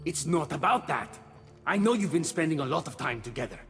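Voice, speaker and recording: Casting: loyal, clever messenger god, lightly high, swooping pitch, game drama. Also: male voice, sing-song, brisk, fairly bright tone. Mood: accusing. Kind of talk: video game voice acting. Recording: long reverb, faint music behind.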